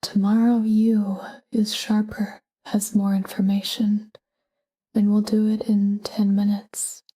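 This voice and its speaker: A woman narrating a scripted sleep meditation in a calm, gentle, whispery voice with measured delivery. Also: medium pitch, rough recording. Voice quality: breathy, whispery. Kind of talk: scripted guided sleep meditation, soft spoken.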